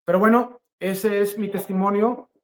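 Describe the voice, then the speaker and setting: A middle-aged man giving an earnest, spontaneous personal religious testimony, speaking haltingly and repeating phrases for emphasis. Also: sits high, steady tone.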